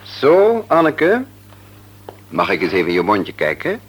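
An actor playing a calm, kindly family doctor in a Dutch radio play, with a reassuring, businesslike bedside manner. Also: male voice, lowish voice, unhurried, slightly rough, exaggerated voice. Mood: kindly coaxing. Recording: noisy old radio play recording.

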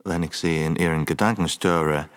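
An actor playing a sly, theatrical Irish gentleman investigator in a radio play, teasing and dropping English phrases. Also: a bass voice, fairly even intonation, normal speed. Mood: polite. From Ireland. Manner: teasing, drops English phrases into his speech.

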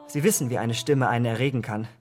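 An actor playing an impressionable, vain young gentleman in a German radio drama, speaking in an earnest, rapturous way. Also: medium pitch, fast talker, music in the background, lightly acted. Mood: enraptured.

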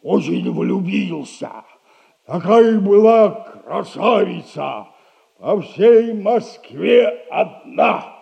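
An old retired soldier bragging about his past in a comedy. The voice is high for a man and gravelly, with a mellow tone. He rambles along in a self-admiring, theatrical way. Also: heavily acted. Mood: self-admiring, nostalgic. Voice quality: creaky old man's voice. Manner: drawn-out, rambling, self-admiring storytelling with repeated catchphrase. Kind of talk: theatrical radio play comedy acting.

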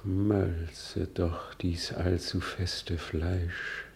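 Grieving young prince, bitter, brooding, slow deliberate verse delivery, slightly low voice, radio drama. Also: male voice, little pitch movement, creaky, full character voice. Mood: anguished, disgusted. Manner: deliberate, declamatory, swinging between hushed and outbursts. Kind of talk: classical German stage-verse radio drama acting.

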